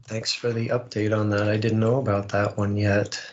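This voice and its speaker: A man's voice: fairly low pitch, little pitch movement, normal speed, slight background noise. A man hosting an online tech community call, presenting news in an informal, conversational, upbeat way. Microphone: online call microphone. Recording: slightly dull, lo-fi online call audio.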